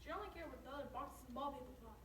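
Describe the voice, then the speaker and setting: A woman's voice: high-pitched, racing. A female narrator reading scripted lines of a story aloud in a readers theater. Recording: echoey room, distant mic, loud background noise.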